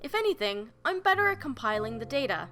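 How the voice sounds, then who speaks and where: A woman with a cold voicing an earnest young male geologist who explains things patiently in a measured, tidy way, her voice a little nasal. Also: high voice, sing-song, fairly bright tone, faint music behind. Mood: explaining.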